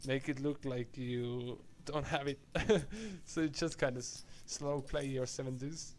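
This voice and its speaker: A man giving live poker commentary, relaxed and chatty, laughing easily between hands. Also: mid-range voice, unhurried, slight background noise.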